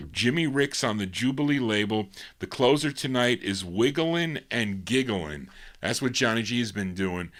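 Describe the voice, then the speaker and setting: A man's voice: lowish voice, a bit bright. A male radio host chatting casually and rambling, with a tired voice he says he is losing. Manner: rambling, self-mocking, many restarts. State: losing his voice, dry throat. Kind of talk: casual on-air chat, sign-off.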